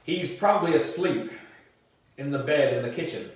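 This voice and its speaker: A man's voice: on the high side, normal speed, lightly acted. A man voicing a kindly, practical grandfather with a reassuring manner in a children's storybook. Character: kindly, practical grandfather looking after a boy. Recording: distant mic in echoey room.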